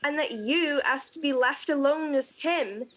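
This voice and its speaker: An earnest field reporter in a mock news comedy, acted by a woman. She has a high voice and a slow, measured pace, polite but probing. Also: a bit bright. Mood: suspicious. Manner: polite, inquisitive.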